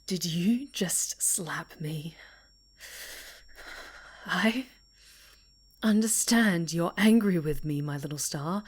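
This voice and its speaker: Woman playing a breathy, obsessive dark superhero villainess. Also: on the low side, full character voice. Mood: cold warning. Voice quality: breathy, whispery. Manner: slow, deliberate, pleading possessiveness. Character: obsessive, possessive dark-winged female superhero villain, menacingly tender. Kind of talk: ASMR roleplay monologue, theatrical voice acting.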